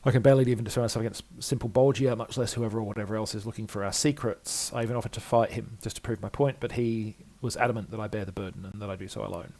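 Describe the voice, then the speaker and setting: Guy doing a nervy gravelly scholar from a fantasy game, mutters and repeats himself fast, thinks he's losing it. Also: fairly low pitch, fairly even intonation, a little mellow. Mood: reeling, anxious. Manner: rambling, self-repeating, fretful. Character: anxious, muttering male scholar doubting his own sanity. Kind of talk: dramatic reading of game journal entries.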